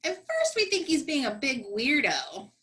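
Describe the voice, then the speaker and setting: A woman's voice: high voice, very animated, a little slow, pushed and bright. A woman narrating a comedic scripted radio play with sassy, dramatic delivery.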